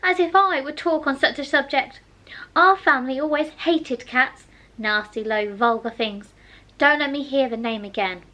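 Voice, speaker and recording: A woman reads a tiny, prickly mouse from a storybook, shrill and passionate, his voice pitched high. He bristles at any slight and answers sharply. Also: slow, few pauses, sharp tone, full character voice. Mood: indignant. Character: shrill touchy male mouse, small and easily offended.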